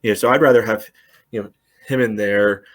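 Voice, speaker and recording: A man's voice: on the low side, fairly even intonation, fairly quick. A man co-hosting a podcast, talking casually about soccer and leaning hard on "you know.". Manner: rambling, heavy "you know" fillers. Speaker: podcast co-host.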